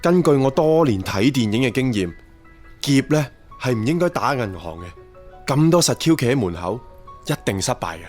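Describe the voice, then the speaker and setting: A man's voice: medium pitch, big pitch swings, normal speed, a little mellow, soft background music, close to an everyday voice. An actor playing a gloomy, reckless young man with a Hong Kong accent, listless and offhand in a radio drama. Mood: mock-expert. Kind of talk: Cantonese radio drama acting.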